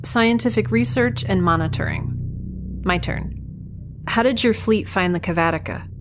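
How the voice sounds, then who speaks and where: A woman playing a defiant, quick-witted young researcher who is nauseated and concussed and talks back sardonically. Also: mid-range voice, music in the background, lightly acted. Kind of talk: audio drama acting.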